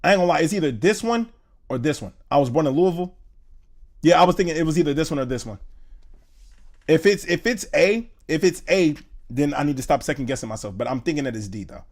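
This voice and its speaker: A young man in his twenties from Indiana, chatting casually on a live stream, loose and slangy, reading chat aloud and thinking out loud. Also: on the high side, expressive, fast talker. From Indiana, USA. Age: 20s. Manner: slangy, loose, says "bro" a lot.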